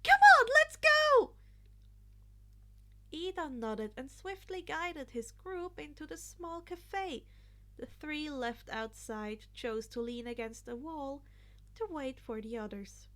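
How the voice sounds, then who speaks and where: A woman's voice: sits high, expressive, slow. A woman narrating a piece of fan fiction as a scripted story reading.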